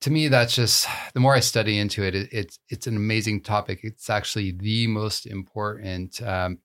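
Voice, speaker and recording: A man's voice: on the low side, fairly even intonation, normal speed, fairly bright tone. A man co-hosting a podcast in casual chat, thinking aloud with some hesitation. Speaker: podcast co-host.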